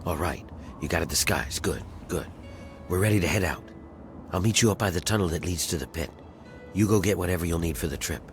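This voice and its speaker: A man's voice: low-pitched, brisk, faint music behind, natural voice. A man playing a blunt rebel leader. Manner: blunt, matter-of-fact. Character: gruff male rebel planner, blunt and commanding. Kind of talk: video game voice acting.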